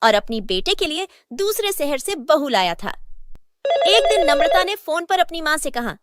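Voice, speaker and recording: A woman narrating a scripted moral story in a steady storytelling manner. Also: high-pitched, moderate pace, very noisy.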